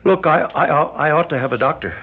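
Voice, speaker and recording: Captive us senator, husky and worn out, halting, american accent, radio drama, dull lo-fi sound. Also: male voice, on the high side. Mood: suffering. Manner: halting, strained. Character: captive male US senator, ailing, indignant, weary.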